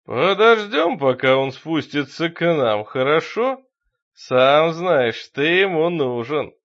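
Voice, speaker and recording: A man voicing a crazed, menacing sexton, a fanatical zealot who taunts loudly with drawn-out words in a horror audiobook. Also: fairly high pitch, animated, lo-fi sound, full character voice. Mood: fanatical, gloating.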